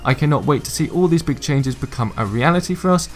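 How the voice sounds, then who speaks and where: A man's voice: on the high side, animated, fast. A young man hosting a gaming channel, giving an eager, opinionated rundown of upcoming game updates. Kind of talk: game news commentary. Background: faint background music.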